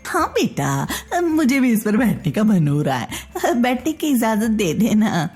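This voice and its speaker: Casting: woman as older scheming mother-in-law for comic storybook, husky, headstrong, wheedling, unhurried. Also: a little high, big pitch swings, darkish tone, soft background music, full character voice.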